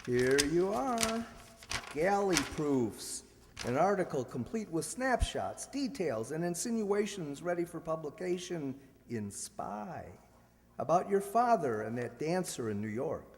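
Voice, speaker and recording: Man acting a suave, creaky-voiced sardonic gentleman. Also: a little high, sing-song, a little slow, slight background noise. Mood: mocking, smug. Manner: dry, mocking. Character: suave, sardonic, needling society ex-husband. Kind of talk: radio play acting of a 1940s screwball comedy. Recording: reverberant hall stage recording.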